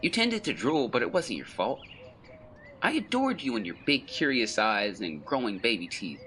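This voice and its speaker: A man's voice: mid-range voice, big pitch swings, normal speed, sharp tone, a little hiss or hum. An actor playing an ashamed, grieving young man of the 1920s, reading a confessional letter in an audio drama with solemn, literary phrasing. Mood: tender, fond.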